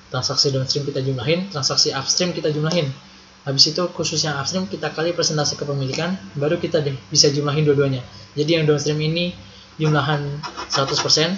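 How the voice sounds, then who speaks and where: A young man with a fairly high voice teaches in a casual tutorial style. He goes step by step, asking questions and answering them himself, with fairly even intonation. The recording is slightly dull, with faint noise behind him. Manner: step-by-step, conversational, rhetorical questions. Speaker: accounting tutor. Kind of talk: casual explanatory video lesson. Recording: muffled lo-fi with faint background noise.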